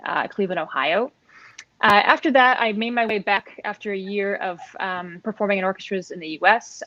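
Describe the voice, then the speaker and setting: A woman's voice: mid-range voice, fairly bright tone, no room sound. A Canadian woman in her thirties answering casually as an interview guest over a lo-fi online call. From Halifax, Nova Scotia, Canada. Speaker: guest, percussionist and arts administrator. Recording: dull, lo-fi online call audio.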